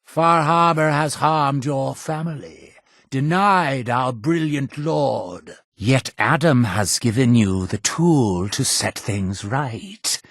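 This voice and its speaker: An actor voicing a stern, suspicious cult leader in a video game, fatherly yet menacing, with a husky voice and solemn, measured, preacher-like formality. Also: mid-range voice, fairly bright tone, dry recording, clean studio sound. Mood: solemn urging. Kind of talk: scripted video game dialogue.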